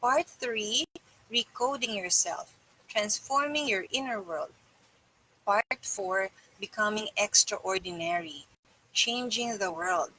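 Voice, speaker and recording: A woman's voice: medium pitch, takes it slowly, pushed and bright, slight background noise, rough recording. A middle-aged woman reading aloud for a live stream, with casual asides to her viewers. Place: small indoor room with bare wall.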